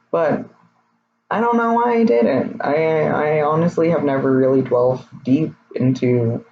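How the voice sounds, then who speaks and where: Slightly raspy young man, candid vlog. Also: medium pitch. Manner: candid, rambling, hesitant with many fillers. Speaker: vlogger. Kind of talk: casual personal vlog. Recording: slightly dull, faint noise.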